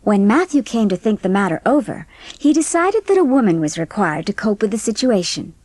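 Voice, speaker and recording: A woman narrating an audiobook story in an expressive, scripted storytelling manner. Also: medium pitch, brisk, no room sound.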